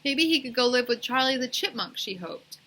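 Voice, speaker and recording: A woman reading a children's story aloud as a teacher, in expressive narration. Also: on the high side, brisk.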